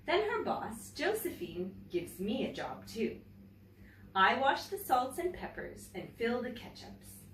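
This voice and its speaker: A woman teacher reading a picture book aloud to young children, with expressive, deliberate phrasing and chatty asides to her listeners. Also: medium pitch. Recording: roomy, distant mic, faint background noise.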